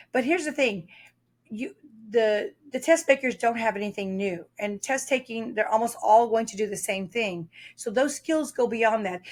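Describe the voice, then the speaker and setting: A woman guest on a podcast, an author explaining test preparation in a talkative way, telling stories with frequent fillers. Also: fairly high pitch. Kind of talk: podcast interview.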